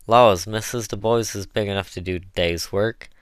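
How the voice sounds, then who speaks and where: A man's voice: on the low side, fairly even intonation, normal speed, clean tone, no echo, clean studio sound, pitched above the performer's own voice, close to an everyday voice. A man voicing an eager, good-humored woman, an enslaved cook, in folksy dialect for an audiobook. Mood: insistent, cheerful. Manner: folksy dialect with mispronounced words. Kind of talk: audiobook character voice.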